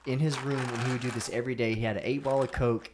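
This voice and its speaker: Fast-talking American man, casual podcast guest. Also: medium pitch, steady tone, heavy background noise. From the American South. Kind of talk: casual chat about movies.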